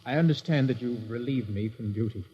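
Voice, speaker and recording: An actor playing an earnest, stubborn young lieutenant with a stiff, formal manner in a 1950s radio drama. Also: male voice, medium pitch, brisk, highs cut off, natural voice. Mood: earnest, determined. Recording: noisy vintage radio recording.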